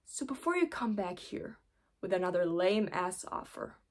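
A woman's voice: lowish voice, big pitch swings, normal speed. A woman performing a dramatic monologue as an indignant, steely lawyer, controlled and pointed. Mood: controlled anger. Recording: echoey room with faint hiss.

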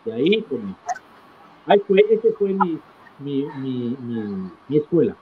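A middle-aged man recounting his life as an interview guest, in a halting manner, repeating phrases for emphasis. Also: mid-range voice, very animated. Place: small indoor room, video call. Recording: tinny phone-like call with clear noise.

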